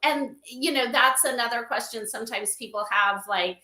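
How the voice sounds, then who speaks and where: Bright higher-voiced woman, echoey room. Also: moderate pace. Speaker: podcast guest, company advisor. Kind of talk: conversational interview explanation. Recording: roomy, echoey sound.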